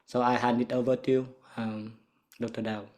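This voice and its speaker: A man hosting a webinar, introducing speakers with many fillers and pauses. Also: medium pitch, little pitch movement, darkish tone. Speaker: webinar host.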